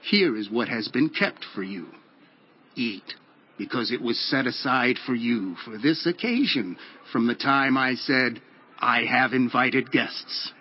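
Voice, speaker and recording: An actor playing a solemn, commanding prophet in a dramatized audio Bible, with measured, declamatory delivery. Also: male voice, mid-range voice, husky. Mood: solemn, assured. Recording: dull lo-fi audio with music. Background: background music.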